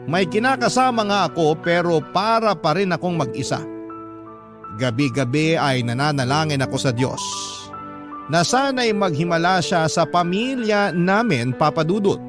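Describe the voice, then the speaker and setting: A man reading a letter aloud in the first person with earnest, emotional narration for a radio drama. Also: on the high side. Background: background music.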